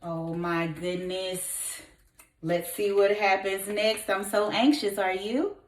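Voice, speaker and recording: A woman teacher reading a story aloud to young children, expressive and encouraging, putting questions to her listeners. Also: mid-range voice, slow, slight background noise. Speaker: kindergarten teacher. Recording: echoey room.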